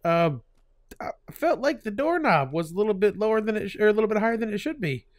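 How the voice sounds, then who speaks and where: A man's voice: high voice, expressive, quick pace, no room sound, clean studio sound. A man with a stuffy cold hosting his gaming channel, chatting casually over gameplay, laughing often and full of fillers and restarts.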